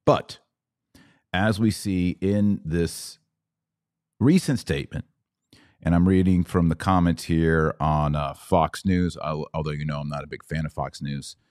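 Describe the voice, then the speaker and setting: An American man hosting a political commentary podcast, speaking in a deliberate, emphatic monologue that leans on repeated words for stress. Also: a bass voice, fairly even intonation, darkish tone. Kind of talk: opinion monologue to camera.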